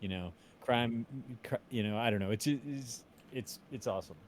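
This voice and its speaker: A man's voice: medium pitch, moderate pace, a little hiss or hum. A middle-aged man chatting casually on a group stream, thinking aloud as he tries to recall things. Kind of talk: casual chat.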